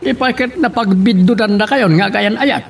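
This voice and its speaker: A man's voice: high-pitched, moderate pace, vocal fry, faint music behind. A man with a Filipino accent playing a secretive, commanding boss in a radio drama. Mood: scheming, commanding. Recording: vehicle and street noise behind.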